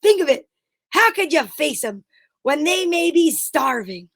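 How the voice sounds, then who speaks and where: A woman's voice: sits high, sing-song, measured pace, gravelly, fairly bright tone, no room sound, clean studio sound, exaggerated voice. A woman playing a bossy straight man who goads theatrically in an amateur old-time radio comedy. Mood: goading. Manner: goading, theatrical. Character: bossy straight man goading his cowardly partner.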